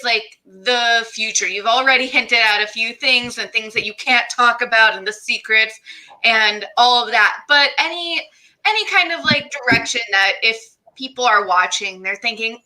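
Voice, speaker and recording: Very bright, higher-voiced woman host. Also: rough recording. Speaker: interview host. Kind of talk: casual livestream interview.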